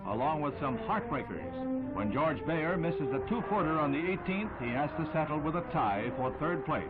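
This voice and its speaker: A man with an American accent reads a 1960s newsreel script like a punchy, dramatic announcer. His voice sits a little high. Loud music plays behind him, and the old soundtrack is narrow and tinny. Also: big pitch swings, normal speed. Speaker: newsreel narrator.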